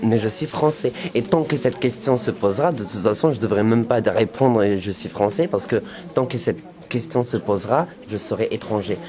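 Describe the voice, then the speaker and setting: A man from France speaking calmly and deliberately with a group of young people, repeating his phrases for emphasis. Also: lowish voice. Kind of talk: frank group discussion. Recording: noisy room with crowd chatter. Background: chatter of a group of youths.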